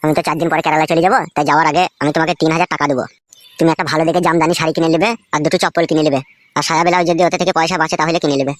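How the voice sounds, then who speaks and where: Man voicing a fast-talking cartoon village womanizer. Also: on the high side, fairly even intonation, no echo, close to an everyday voice. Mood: wooing. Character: smooth-talking, foul-mouthed village womanizer, a young man. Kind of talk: comedy cartoon dialogue.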